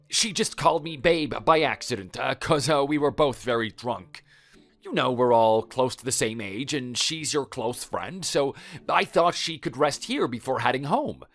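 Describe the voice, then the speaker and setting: A man acting a defensive, flustered husband caught cheating in a dramatized audio story, stammering out excuses. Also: mid-range voice.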